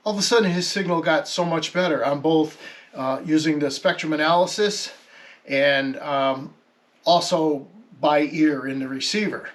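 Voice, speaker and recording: A man with a slightly high voice talks through a technical hobby in an informal vlog style. He is conversational and a bit hesitant, working out his explanation as he speaks. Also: fairly bright tone. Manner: hesitant, thinking aloud, conversational. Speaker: amateur radio hobbyist presenter.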